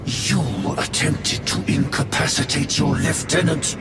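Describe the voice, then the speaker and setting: Gravelly, echoing, menacing military chaplain. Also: male voice, on the high side, steady tone, music in the background. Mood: stern suspicion. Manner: slow, deliberate, accusing. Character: stern old male military chaplain, suspicious and menacing. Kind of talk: dramatic game cutscene acting. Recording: echoey, distant, under loud score.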